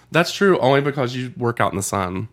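A man's voice: medium pitch, a bit bright. A man co-hosting a podcast in casual chat who laughs often. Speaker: podcast co-host.

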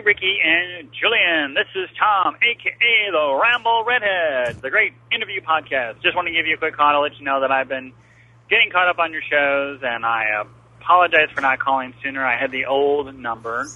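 A man's voice: medium pitch, narrow, tinny audio. A man leaving a friendly voicemail for a podcast, calling in over the phone. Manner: friendly, upbeat message.

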